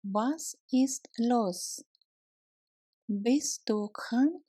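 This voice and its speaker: Very slow-speaking woman, language lesson narration. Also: a little high, animated, mellow, dry recording, clean studio sound. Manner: deliberate, clearly separated words for learners. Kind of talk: slow, scripted language lesson phrases with repetition.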